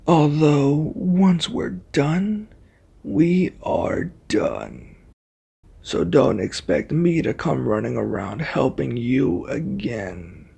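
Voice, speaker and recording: A man voicing an arrogant, menacing reluctant ally in an ASMR roleplay, with a husky, taunting, threatening delivery. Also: mid-range voice, a little mellow, exaggerated voice.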